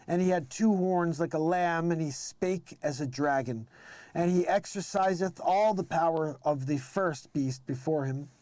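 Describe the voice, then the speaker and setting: A man's voice: on the high side, a little mellow, no echo. A man hosting a live read-along, reading scripture aloud in an emphatic, declamatory way and adding casual informal commentary. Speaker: live show host.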